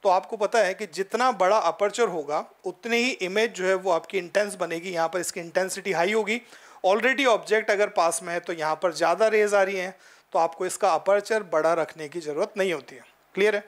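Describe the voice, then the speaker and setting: A man's voice: sits high, brisk, fairly bright tone. A man in his forties, a physics teacher giving a classroom lecture with a headset mic in a studio. He talks in an explanatory way, often tells students to look carefully, and mixes in English terms. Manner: gestures and points at the board while talking. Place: studio in front of a projected board.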